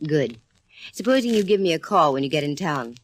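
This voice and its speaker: An actress playing a wisecracking private detective who also narrates, in a brisk 1940s radio drama. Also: female voice, deep voice, animated, a little hiss or hum.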